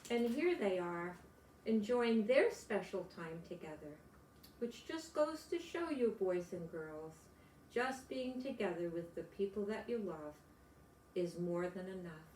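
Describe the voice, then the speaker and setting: Older woman, unhurried children's read-aloud, gentle simple phrasing, echoey room. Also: medium pitch, expressive. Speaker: storytelling grandmother reading picture books to children. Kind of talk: children's read-aloud and chat to young listeners. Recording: echoey room with background noise.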